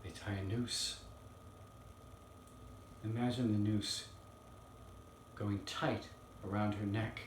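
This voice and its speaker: A man playing an earnest young defense lawyer in a dramatic courtroom monologue, solemn and halting with weighty pauses. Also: fairly low pitch, steady tone. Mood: grave, hushed. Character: earnest young male Southern defense lawyer pleading to jury. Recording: roomy, distant mic, faint noise.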